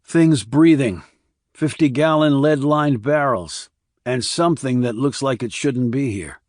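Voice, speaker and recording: A man voicing a terse, authoritative, steady former army investigator in audiobook dialogue. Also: mid-range voice, a little slow, dry recording, clean studio sound, pitched above the performer's own voice, lightly acted. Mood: brisk, commanding.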